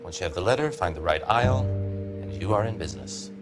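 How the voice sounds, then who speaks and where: An actor playing a polite, methodical records clerk in a video game, with an American accent and a courteous, instructive manner. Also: male voice, sits low, animated, quick pace. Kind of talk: cinematic video game acting. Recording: loud music behind the voice.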